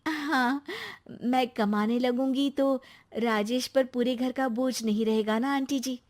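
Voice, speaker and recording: A woman's voice: sits high, fairly even intonation, unhurried. A woman voicing an anxious, quarrelsome young wife in a cartoon story, with a plaintive, argumentative manner. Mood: earnest. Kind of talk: Hindi cartoon story dialogue.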